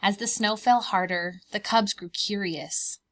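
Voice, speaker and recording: A woman preschool teacher reading a children's storybook aloud in a deliberate, expressive, child-directed way. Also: medium pitch.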